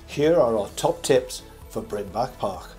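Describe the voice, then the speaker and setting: An older man reading a scripted review voice-over as host, in a measured, formal presenter manner. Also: medium pitch, expressive, mellow. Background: background music.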